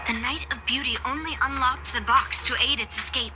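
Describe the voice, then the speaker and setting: A woman voices an assertive fantasy game heroine with a fairly high voice. She is direct, confident and commanding, over loud background music. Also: a little fast, close to an everyday voice. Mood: confident, urgent. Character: assertive, urgent female investigator in fantasy adventure. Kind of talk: video game voice acting.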